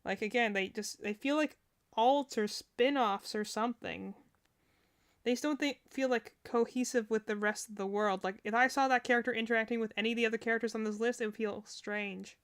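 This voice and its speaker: A woman with a fairly high voice gives casual streamer commentary. She rambles as she thinks aloud, chatting through her reactions in an informal way. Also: steady tone, a little fast. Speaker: streamer reacting to game character demos.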